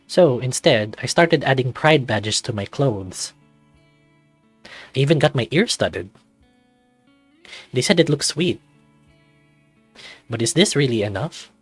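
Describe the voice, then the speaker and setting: A man's voice: mid-range voice, animated, takes it slowly, long pauses, husky, dry recording, faint music behind. Man voicing an anxious, confessional thirty-something man. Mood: anxious, wistful. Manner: introspective, confessional, dramatic reading. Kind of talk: first-person visual novel narration.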